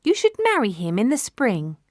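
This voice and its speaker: A woman voicing a kind, motherly field mouse in a fairy tale, speaking in a gentle, coaxing manner. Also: fairly high pitch, wildly swooping pitch, normal speed, no room sound, clean studio sound, voice pushed up. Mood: advising.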